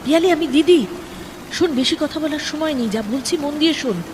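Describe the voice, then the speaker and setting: Woman acting scheming young female executive, high reverberant voice. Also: a little fast, background noise. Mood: urgent, conspiratorial. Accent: Bengali. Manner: urgent when plotting, coy and breathy when flattering. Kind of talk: Bengali audio drama acting. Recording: long reverb, clear music behind. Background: background music.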